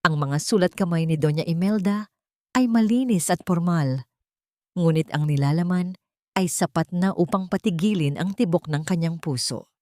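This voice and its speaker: A woman reads a dramatic audiobook story in a slightly low voice. Her phrasing is measured and solemn, and the sound is close and dry, with very little room. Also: warm tone, no room sound. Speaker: audiobook narrator. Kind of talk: dramatic scripted story narration.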